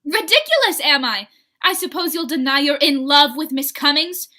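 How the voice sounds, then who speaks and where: A woman's voice: high voice, expressive, normal speed, pushed and bright. A woman playing a jealous, bitter invalid wife, imperious and accusatory, in a 1940s radio drama style. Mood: accusing, commanding.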